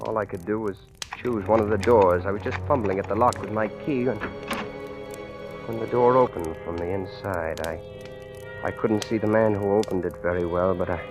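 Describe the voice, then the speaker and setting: A man's voice: fairly low pitch, natural voice. An actor playing a frightened, stammering ordinary man caught among killers, in a 1940s radio suspense drama. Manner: hesitant, stammering. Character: frightened ordinary husband mistaken for a killers' client. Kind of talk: 1940s radio acting, first-person suspense narration. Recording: old-time radio recording with music.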